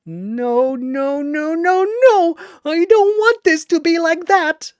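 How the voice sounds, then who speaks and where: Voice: very high voice, expressive, slow pace, dry recording, clean studio sound, voice pushed up, heavily acted. A narrator voicing a childish, manipulative young girl fighter with pleading, insistent delivery in a dramatic battle story. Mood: frantic, shrieking.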